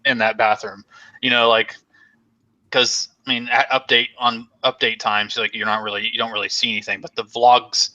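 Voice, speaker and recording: A man's voice: medium pitch, flat delivery, moderate pace, pushed and bright, muffled recording. A young man hosting a casual live stream chat, rambling and joking with many fillers while losing his voice. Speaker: host.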